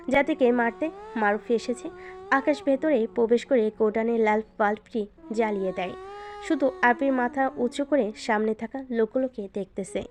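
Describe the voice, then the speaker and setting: A woman narrates a scripted drama. Her voice is somewhat high, and music plays underneath her telling. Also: a little mellow.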